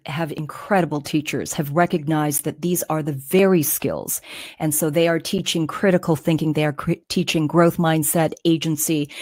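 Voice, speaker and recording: A middle-aged woman with a low, measured voice, speaking at an unhurried pace. She answers interview questions earnestly, leaning into her points with emphatic storytelling. The sound is close and dry. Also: no echo. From Canada. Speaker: guest, former news anchor.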